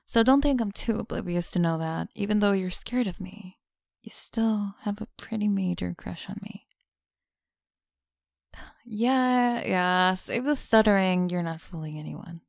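A woman voicing a mean, teasing tsundere college bully in an intimate ASMR roleplay, creaky and often dropping into breathy whispers. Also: medium pitch, a little slow, darkish tone, no echo, studio quality. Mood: smug teasing.

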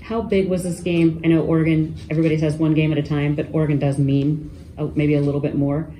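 A man's voice: on the high side, flat delivery, fast, mellow, slight background noise. A man, a reporter, asking hesitant questions at a press conference. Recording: echoey room.

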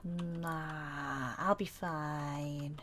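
A woman's voice: low-pitched, fairly even intonation, drawn-out words, fairly bright tone, exaggerated voice. Woman playing a naive, stubborn young girl survivor. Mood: warm, earnest. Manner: simple short childlike sentences. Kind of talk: improvised game roleplay.

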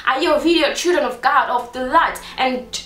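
A woman's voice: sits high, normal speed, pushed and bright, recorded from a distance. A young woman from Congo preaching earnestly to camera from a small room, insistent and repetitive, asking rhetorical questions. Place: small room indoors, seated on sofa. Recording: echoey room, voice set back from mic.

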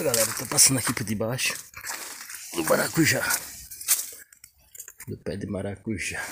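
A man, casually and enthusiastically showing his sunny backyard garden on a phone camera while walking. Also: mid-range voice. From Northeast Brazil. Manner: enthusiastic, repeats "que maravilha" and "olha". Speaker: vlogger. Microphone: phone camera held while walking. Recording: outdoor phone audio with clear background noise.

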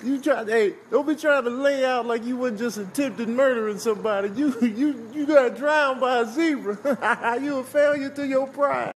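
Man as a high, mocking, gloating animal. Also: slight background noise. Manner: taunting, drawn-out, giggly. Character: mocking animal gloating over a failed lion.

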